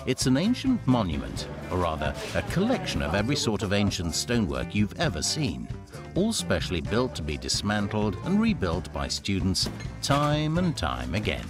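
A man reading scripted documentary narration in a measured, storytelling manner. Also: lowish voice, huge pitch swings. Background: music.

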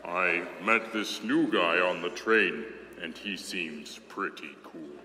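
A man playing a dim, gentle schoolboy henchman, plodding and matter-of-fact, in a comic staged reading. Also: low-pitched, takes it slowly, heavily acted. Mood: dim, placid. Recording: reverberant hall, faint noise.

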